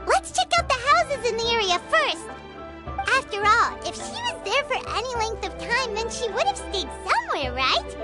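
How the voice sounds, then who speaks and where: A woman voicing a tiny, excitable girlish fairy companion who talks about herself in the third person, in a video game. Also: extremely high pitch, full character voice. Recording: loud game music underneath.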